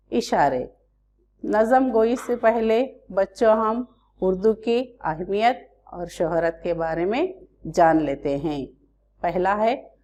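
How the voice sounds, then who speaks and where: Indian lady teacher, slow and careful, lowish voice, walking kids through a lesson. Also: warm tone. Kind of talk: online class lesson.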